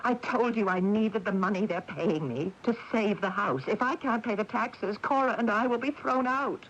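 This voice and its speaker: A woman's voice: medium pitch, normal speed, close to an everyday voice. A woman acting an earnest, exasperated householder in a radio mystery drama. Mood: pleading. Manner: earnest, expressive, scolding. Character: exasperated, plucky woman householder, the ghost's descendant. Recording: dull, hissy 1980s radio tape.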